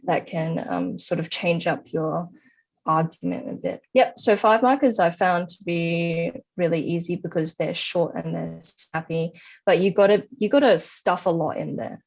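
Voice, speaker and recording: A woman's voice: on the low side, fairly even intonation, unhurried, gravelly, darkish tone, muffled recording. A young adult woman giving conversational study advice as an interviewee. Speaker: interviewee, high-achieving former student.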